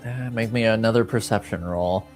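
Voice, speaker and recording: Low-pitched halting male game master. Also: faint music behind. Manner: halting, searching for words. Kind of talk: improvised tabletop game narration.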